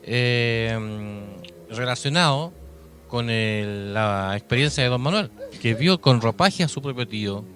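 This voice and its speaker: A Chilean man co-hosting a conversational paranormal radio show, speaking in a reflective, halting way with repeated phrases. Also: mid-range voice, expressive, a bit bright. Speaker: radio co-host. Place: radio studio. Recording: music bed under studio talk. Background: music.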